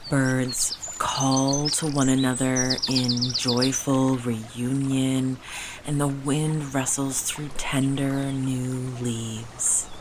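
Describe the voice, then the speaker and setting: Very slow, low-pitched woman guiding meditation. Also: little pitch movement, background noise. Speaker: guided meditation narrator. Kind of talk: slow, soothing guided meditation.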